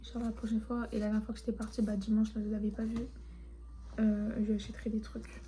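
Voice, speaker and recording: A young woman who grew up speaking Turkish, chatting casually and rambling softly, often half-whispering, as she shows her souvenirs. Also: mid-range voice, completely flat delivery, quick pace, a little hiss or hum. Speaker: vlogger. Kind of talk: casual chat showing souvenirs.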